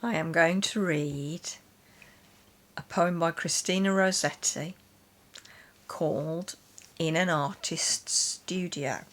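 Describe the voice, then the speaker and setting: Woman talking books and poems, lowish voice, really slow pace. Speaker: guest reader on a literature chat channel. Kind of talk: casual book chat and poetry reading.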